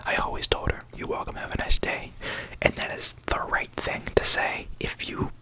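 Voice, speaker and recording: A tired young adult YouTuber telling a story in a soft unvoiced whisper, rambling with many likes and ums. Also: moderate pace.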